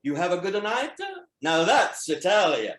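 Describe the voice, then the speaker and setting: A man acts out a confident, playful gondolier who is proud of his city. His fairly high voice swings in pitch with expansive, teasing flair, as in a screenplay read aloud in a roomy, echoing space. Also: sing-song, unhurried, a bit bright, heavily acted. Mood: exuberant. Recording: echoey, roomy sound.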